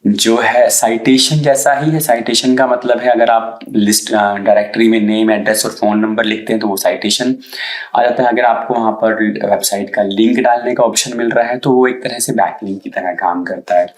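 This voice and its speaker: A man's voice: fairly low pitch, fairly even intonation, moderate pace. A man with a sore throat explaining an SEO tutorial in a casual, hesitant way, mixing in English terms. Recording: echoey room.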